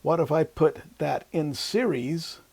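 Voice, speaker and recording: An older man gives an informal technical lesson, thinking aloud as he explains. His voice sits a little high for a man, with a soft, mellow tone and a noticeable creak. He is close-miked on a lapel in a small room. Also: unhurried. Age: 60s or older. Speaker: electronics instructor. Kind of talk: explanatory whiteboard lecture. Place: small indoor room at a whiteboard. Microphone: lapel mic.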